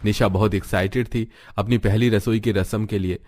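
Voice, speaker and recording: Male narrator for animated family stories, lower-pitched, scripted storytelling delivery. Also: moderate pace.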